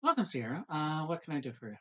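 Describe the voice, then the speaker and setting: A man playing a composed, politely attentive female ship doctor in a tabletop roleplay, speaking formally and explaining things in a measured way. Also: a little high, big pitch swings, breathy edge, voice pushed up.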